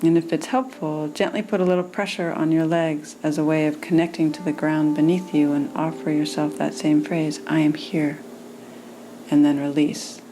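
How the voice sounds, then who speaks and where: Low, mellow woman guiding a meditation. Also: slight background noise. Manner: soothing, unhurried phrasing with gentle pauses. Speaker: meditation teacher and psychotherapist. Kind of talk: guided meditation.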